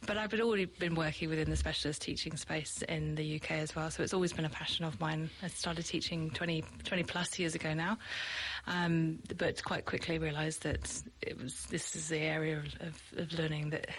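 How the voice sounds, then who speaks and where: A woman's voice: low voice, little pitch movement, a little fast, a little hiss or hum, rough recording. A middle-aged British woman from the UK, a teacher, chatting in an interview with lots of ums and frequent laughs. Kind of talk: podcast interview.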